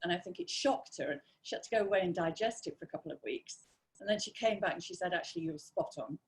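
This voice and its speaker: A woman's voice: lowish voice, fast, low-quality recording. A woman taking part in a live Q&A call, asking her question hesitantly and searching for words.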